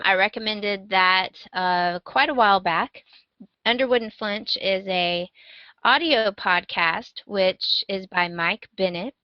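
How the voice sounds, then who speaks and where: A woman's voice: medium pitch, dry recording, highs cut off. A woman co-hosting a podcast, chatting casually in a conversational, wry and emphatic way as she gives recommendations. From United States. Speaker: podcast co-host.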